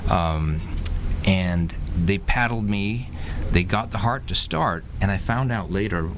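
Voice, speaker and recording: A middle-aged man co-hosting a casual talk show, telling stories in a halting way with many fillers and restarts. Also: on the low side. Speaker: co-host. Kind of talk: casual conversational storytelling. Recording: noisy recording.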